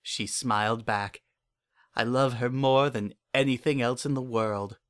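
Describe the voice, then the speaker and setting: A man's voice: medium pitch, steady tone, measured pace, smooth, lightly acted. A man playing a lonely, delusional, obsessive narrator in a first-person dramatic story reading, with an earnest and uneasy intensity. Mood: proud, adoring. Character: lonely, obsessive, delusional man confiding his private devotion.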